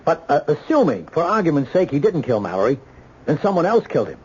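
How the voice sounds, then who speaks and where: An American actor in a radio mystery playing a measured, skeptical police lieutenant who talks in a hesitant, conversational way. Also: male voice, a little high, huge pitch swings, a little mellow, slightly rough, heavily acted. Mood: reasoning. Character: measured, skeptical male police ballistics lieutenant, helpful underneath. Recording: dull, noisy old radio broadcast.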